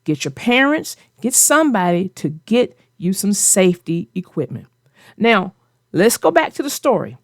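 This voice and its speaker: A woman's voice: medium pitch, sing-song, normal speed, no room sound, clean studio sound. A woman host discussing a children's story and giving advice in an emphatic, conversational way. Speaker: host of a children's read-aloud show.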